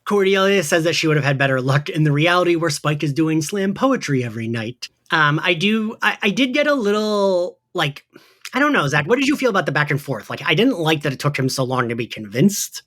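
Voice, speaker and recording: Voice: medium pitch, expressive, brisk, a bit bright. A podcast host in casual chat, recapping a story in a chatty way and peppering sentences with "like" and "right". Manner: chatty, heavy use of "like" and "right".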